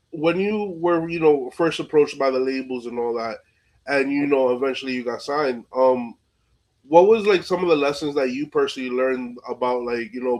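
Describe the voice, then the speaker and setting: A man's voice: medium pitch, a little mellow, poor audio. A man hosting an interview podcast in casual, slangy speech, often dropping in "like" and "you know". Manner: informal, peppered with "like" and "you know". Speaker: podcast host.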